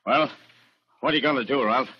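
A man's voice: mid-range voice, a little fast, husky, natural voice. An actor playing a dogged older male cop, blunt and stubborn, in a 1940s radio drama. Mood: firm. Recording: dull vintage 1940s radio recording.

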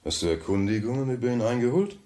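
An actor playing a suave, wealthy banker who talks smoothly and with polite condescension in a radio play. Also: male voice, mid-range voice, very animated, quick pace, warm tone, close to an everyday voice. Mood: coolly controlling. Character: suave, wealthy banker, coolly probing his rival. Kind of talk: German radio drama dialogue.

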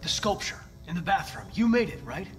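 A young male android investigator in a video game. He speaks in a high, tidy voice, courteous and clinical, every question precise and composed. Music runs clearly underneath. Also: lively intonation, fairly quick. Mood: probing, controlled.

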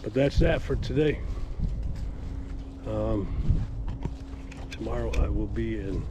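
A man's voice: fairly low pitch, slow pace, background noise. A middle-aged man in a casual walk-and-talk vlog, filmed outdoors in a suburban backyard on an action camera held on a selfie stick, talking in a rambling, upbeat way. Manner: rambling, upbeat, self-correcting. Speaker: landscaper vlogging a garden build.

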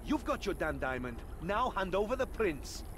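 A wisecracking young game hero, fairly high for a male and swinging widely in pitch. He is cocky and flippant, with clear background noise. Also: sing-song, moderate pace. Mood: sarcastic. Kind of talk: video game voice acting.

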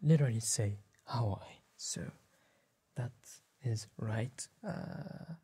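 A young man whispering gently and politely in an ASMR roleplay as a tailor, in a slow, measured, breathy voice close to the mic. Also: mid-range voice. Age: young adult. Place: small indoor tailor workshop set.

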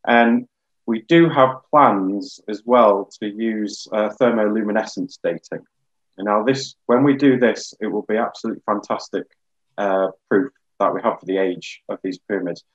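A man in his thirties explaining science informally and in a measured way, as a guest geologist on a webinar. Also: fairly low pitch, dull audio. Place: small plain room indoors. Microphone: video call.

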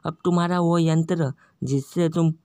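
A man's voice: on the high side, steady tone, normal speed, warm tone, clear, resonant tone, no echo, studio quality, natural voice. A man voicing a glib male doctor in a cartoon parody, delivering bad news in a mock-serious bedside manner. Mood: mock-solemn.

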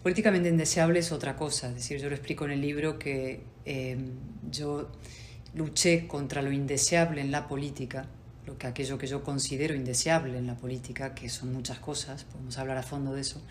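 Woman, forties, very low pitch, articulate, assertive, video call, faint noise. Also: steady tone. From Spain, raised in Buenos Aires and London. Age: 40s. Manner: articulate, assertive, measured with pauses. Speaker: politician guest. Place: home study with bookshelves.

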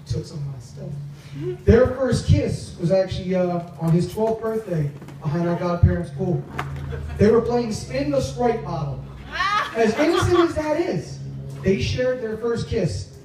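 A young man giving a humorous, teasing wedding toast as best man, telling stories haltingly into a handheld microphone in a tent. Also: high voice, dark tone. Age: young adult. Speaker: best man, brother of the groom. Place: large wedding reception tent. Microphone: handheld microphone over speakers, filmed from a few metres away. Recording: echoey, distant, noisy event recording.

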